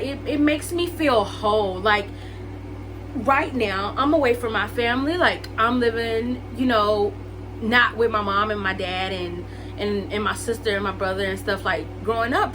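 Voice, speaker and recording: Woman, late twenties, casual vlog chat, rambling and friendly, medium pitch, audible background hum. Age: 20s. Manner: chatty, lots of likes and ums, addresses viewers as y'all and guys. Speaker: vlogger. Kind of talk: casual get-to-know-me chat to camera.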